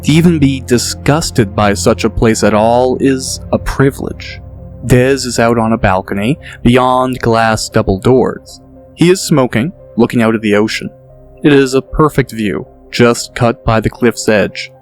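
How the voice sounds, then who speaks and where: A man reading scripted audiobook narration in a measured, descriptive way. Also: medium pitch, soft background music. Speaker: author and narrator.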